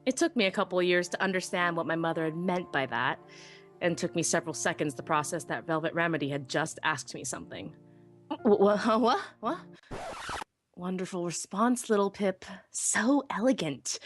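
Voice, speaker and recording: A woman's voice: fairly low pitch, close to an everyday voice. Woman as a wistful young pony narrator over music. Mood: smitten, wistful, then flustered, wry. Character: shy, self-deprecating young female pony technician. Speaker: first-person narrator. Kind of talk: dramatic live audiobook reading.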